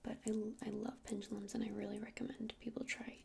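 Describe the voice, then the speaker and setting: A woman whispering softly and breathily in an ASMR roleplay as a friendly witch shopkeeper, explaining things in a gentle, hesitant, rambling way. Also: mid-range voice, flat delivery, quick pace, a little hiss or hum. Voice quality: breathy unvoiced whisper with creaky edges. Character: friendly witch shopkeeper selling crystals and incense. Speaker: ASMR roleplayer playing a crystal shop witch.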